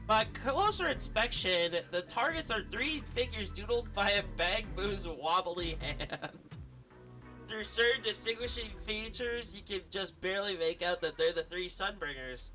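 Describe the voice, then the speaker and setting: A woman streaming a game, giving expressive, casual commentary and reading dialogue aloud, sometimes swearing. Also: mid-range voice, low-quality recording. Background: faint video game music.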